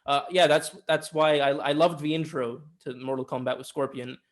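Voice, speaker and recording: Male co-host, slightly high voice, informal and emphatic, swears casually while reviewing. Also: fairly even intonation, moderate pace. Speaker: podcast co-host reviewing films. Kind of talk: casual film discussion.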